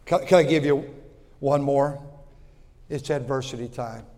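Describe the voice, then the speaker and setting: A man in his sixties preaching a sermon in a folksy way, repeating words and swinging from loud to quiet. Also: medium pitch, measured pace, long reverb tail. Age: 60s. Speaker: pastor.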